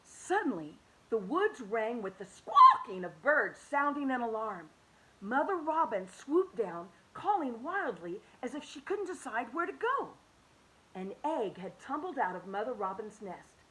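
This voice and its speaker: A woman reading a children's picture book aloud, expressive and animated, dropping to soft hushed tones. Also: a little high, measured pace, rough recording. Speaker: children's story reader.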